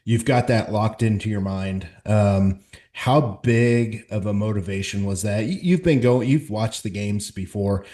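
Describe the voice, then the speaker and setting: A man's voice: fairly low pitch, dark tone. A man hosting a casual interview, enthusiastic and loose, swearing now and then. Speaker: podcast host.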